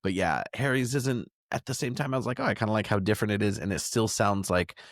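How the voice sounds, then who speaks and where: Low-voiced man chatting on a podcast. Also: fast, no room sound, studio quality. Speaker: podcast co-host. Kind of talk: casual podcast discussion.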